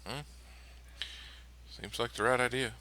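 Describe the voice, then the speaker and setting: Male player, low but bright and tense voice, light hiss behind, easygoing. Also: fairly even intonation, a little slow. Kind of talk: casual tabletop game chat.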